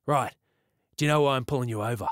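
Man doing a blunt, burly country cop, louder and lifted. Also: medium pitch, fast, dry recording, clean studio sound, close to an everyday voice. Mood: stern, official. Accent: rural Australian. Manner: official, blunt, then matey. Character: burly, jovial country policeman in his thirties.

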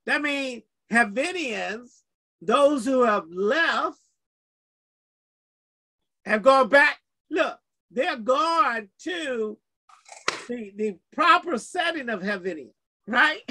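Bright, animated man affirming. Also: very high voice. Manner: animated, affirming, quick encouraging interjections. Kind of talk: unscripted faith discussion.

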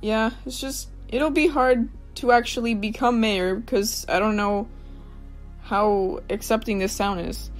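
Woman acting as volatile young man, high voice, emotional, ambitious, radio drama, music underneath. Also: fairly even intonation, unhurried, natural voice. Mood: unsure. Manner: emotional, hesitant when upset. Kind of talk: amateur radio play acting.

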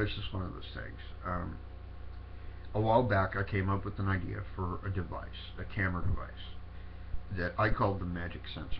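A deep-voiced man with a sniffly cold talks casually and haltingly, stopping and restarting often. The recording is dull and lo-fi, with a steady hiss behind him. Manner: halting, many fillers and restarts. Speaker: paranormal video host. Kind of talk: casual rambling explanation.

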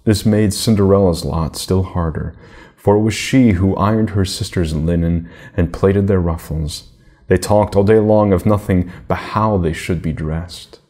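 A middle-aged man narrating a children's fairy tale in a measured, deliberate manner. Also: low voice, darkish tone. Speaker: storybook reader.

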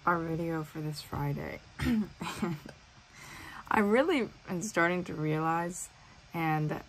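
A woman's voice: on the low side, fairly bright tone, a little hiss or hum, poor audio. A young woman vlogging from her bedroom, chatty and self-aware, with lots of ums. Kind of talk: casual vlog talk to camera. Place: bedroom with bunk beds, indoors.

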